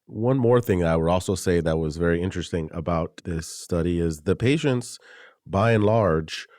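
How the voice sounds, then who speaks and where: Deep-voiced male podcast interviewer. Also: darkish tone, dry recording, studio quality. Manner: measured, thoughtful questioning. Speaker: podcast host. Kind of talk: interviewer asking questions.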